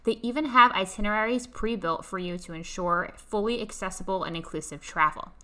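A woman's voice: mid-range voice, fairly quick, pushed and bright. A woman hosting a podcast, giving a polished, upbeat intro and then interviewing a guest. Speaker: podcast host.

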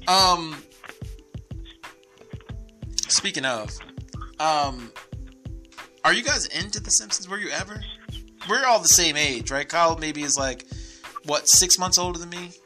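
A man in his thirties with a high, very bright and forceful voice. His pitch swings in a lively way as he co-hosts a casual chat, teasing and swearing with animated energy. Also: a little slow, long pauses, soft background music. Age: 30s. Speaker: podcast co-host. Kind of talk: casual chat about films and television.

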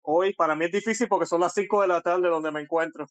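A man with a Puerto Rican accent, a guest speaking in a halting way with frequent pauses over a remote call. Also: high voice, steady tone, no echo. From Puerto Rico. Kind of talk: casual interview talk.

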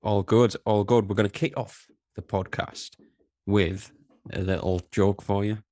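A man's voice: on the low side, fairly even intonation, measured pace, darkish tone, no room sound, clean studio sound. A man co-hosting a podcast in easygoing casual chat. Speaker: podcast co-host.

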